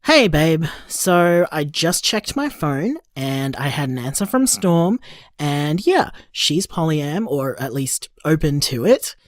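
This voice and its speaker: A woman's voice: deep voice, sing-song, slow, no room sound, studio quality, heavily acted. A woman acting a curious, bemused partner leaving wry, casual voicemails in an audio drama.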